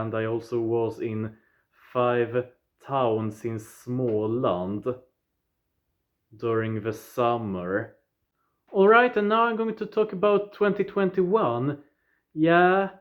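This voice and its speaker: A man of twenty-five with a Swedish accent, talking straight to his viewers in a plain, deliberate way, often opening with "yeah.". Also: medium pitch, lo-fi sound. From southern Sweden. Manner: deliberate, plain phrasing, starts points with "yeah". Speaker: vlogger. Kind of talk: casual personal recap to viewers.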